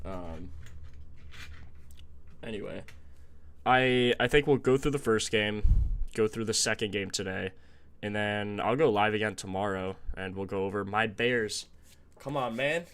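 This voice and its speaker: Young man, low voice, a bit raspy, casual stream host, chatty and thinking aloud, desk mic, keyboard clicks behind. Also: unhurried, long pauses, fairly bright tone. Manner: chatty, thinks aloud, greets chat viewers. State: slightly raspy from a sore throat. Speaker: sports betting stream host. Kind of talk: casual live-stream chat.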